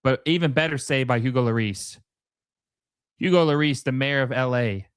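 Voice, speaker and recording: A man's voice: medium pitch, a little fast, fairly bright tone, smooth, dry recording. Man casually calling soccer, close dry sound. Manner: casual fan-like reactions in short, clipped play-by-play phrases. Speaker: host of a live soccer watch-along. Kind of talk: casual live match commentary.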